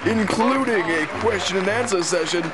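A man's voice: high-pitched, moderate pace. A man announcing a program in a 1930s newsreel style. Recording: very noisy, crowd behind announcer.

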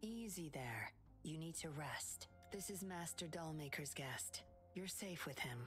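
A woman's voice: low voice, animated, measured pace, fairly bright tone, soft background music. An actress playing a composed, commanding but caring woman in an English video game, giving clipped orders. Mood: concerned. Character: cool, commanding red-haired woman, firm but caring.

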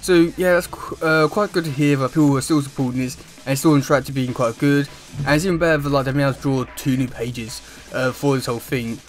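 A young man chatting casually to camera as a YouTube host, with a halting, wordy delivery. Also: mid-range voice, soft background music. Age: young adult. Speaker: YouTube host reviewing comic news. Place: small room indoors at home.

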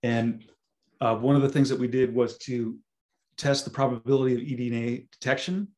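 A hoarse man who is losing his voice, giving a webinar talk over a video call with many ums and restarts. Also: mid-range voice, fairly quick, darkish tone. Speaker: guest speaker, scientist. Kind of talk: webinar presentation.

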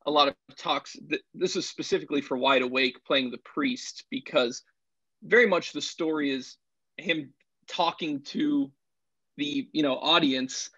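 A man's voice: on the high side, a little slow, fairly bright tone, slightly rough, dry recording. A young adult man talking conversationally on a panel as a student actor. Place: small home room. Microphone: video call, earbuds. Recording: dull, lo-fi video call audio.

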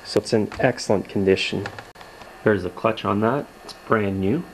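Young guy in his garage talking to the camera, laid back, lowish voice, faint room hum behind. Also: normal speed, poor audio. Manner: relaxed, plain-spoken explaining. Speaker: video host. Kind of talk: casual show-and-tell. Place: home garage workshop, indoors.

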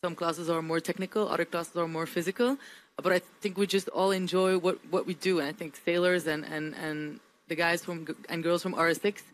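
A woman's voice: lowish voice, fairly even intonation, fairly quick, rough recording. A woman sailor answering an interview question hesitantly, with many fillers and hedges.

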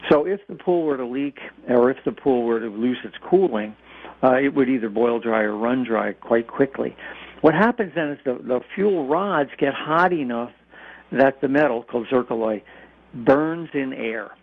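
A man's voice: medium pitch, lively intonation, normal speed. An American man speaking as an expert guest in a radio interview, explaining things informally with stammering, hesitant starts. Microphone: telephone. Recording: tinny band-limited phone line.

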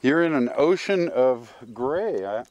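An older man giving casual, halting, musing commentary while hiking outdoors, recorded on a handheld camera. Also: mid-range voice, animated, lo-fi sound, over music. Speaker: hiker narrating a trail video. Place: outdoors on an open hillside trail.

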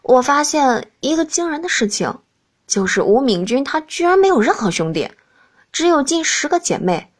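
Woman narrating an audiobook, slightly high voice. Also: very animated, moderate pace. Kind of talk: scripted first-person novel narration.

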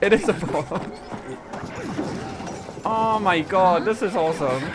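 A man in his thirties giving a casual, excitable video reaction as a streamer, often repeating his phrases. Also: sits high, takes it slowly, background noise. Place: small indoor streaming room. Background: video game trailer music.

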